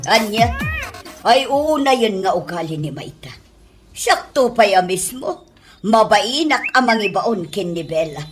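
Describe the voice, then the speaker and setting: Woman playing an animated middle-aged restaurant-owner aunt. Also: medium pitch, unhurried, music in the background. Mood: explaining. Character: aunt who runs a restaurant, exasperated but fair. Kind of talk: Ilocano radio comedy drama acting.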